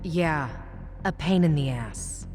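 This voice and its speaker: A woman's voice: low voice, lively intonation, slow pace, over music. An actress playing a cool, ruthless female crime boss in an English game dub, curt and commanding. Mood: cold, dismissive. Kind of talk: English video game voice acting.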